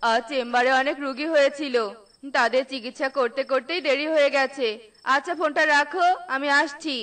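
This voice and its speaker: A woman's voice: high voice, steady tone, fairly quick, a bit bright. A woman voicing an earnest, helpful male bird doctor in a children's cartoon. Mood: concerned.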